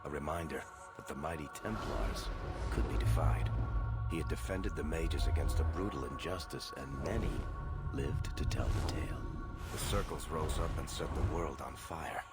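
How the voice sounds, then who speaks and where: An actor playing a wry, worldly dwarf in a video game, telling things with a storyteller's dramatic flair. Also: male voice, very deep voice, normal speed, loud music behind the voice. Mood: solemn storytelling. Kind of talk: video game narration and dialogue.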